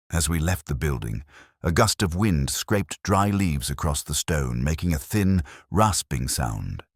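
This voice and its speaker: A man playing a brooding, observant police detective, narrating a mystery in a measured, reflective, literary manner. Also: sits low, no room sound, clean studio sound, full character voice. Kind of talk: scripted first-person mystery narration.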